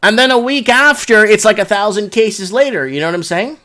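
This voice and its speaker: A man's voice: sits high, lively intonation, fairly quick, pushed and bright. A man hosting a casual livestream, talking in an informal, slangy, rambling way as he chats and reads comments and news aloud. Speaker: live show host.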